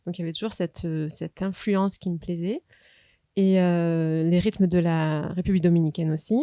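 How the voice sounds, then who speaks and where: A woman's voice: on the low side, steady tone, normal speed, dark tone, no echo, studio quality. A middle-aged woman from Marseille, a dancer, recalling her path in an interview with many hesitations. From Marseille, France. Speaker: dancer at the school.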